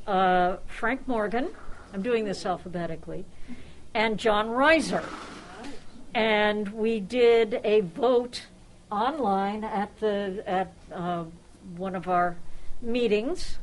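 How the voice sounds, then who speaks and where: A woman host presenting an award informally at a club luncheon, chatty and halting, often interrupting herself. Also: medium pitch, slight background noise, poor audio. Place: restaurant luncheon room. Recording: busy restaurant crowd chatter.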